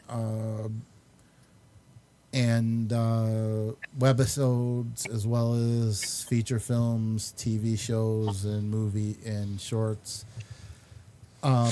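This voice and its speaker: A male host talks at a slow, easy pace in a dark, mellow voice. He rambles casually, stopping often with ums and uhs as he chats with his panel. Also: mid-range voice. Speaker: show host.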